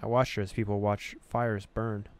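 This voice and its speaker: Low, clear-voiced man reading a story game. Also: flat delivery, normal speed, darkish tone. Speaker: gaming video host. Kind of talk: reading game text aloud with casual reactions.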